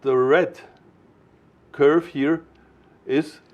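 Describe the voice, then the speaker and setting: A man explaining technical matters informally as a product designer, in a didactic, emphatic way with halting phrasing. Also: on the high side, steady tone, muffled recording.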